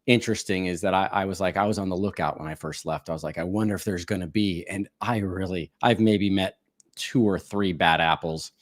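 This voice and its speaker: A man in casual conversation, speaking haltingly with many restarts and repeated words. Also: sits low, no room sound, clean studio sound. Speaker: podcast guest.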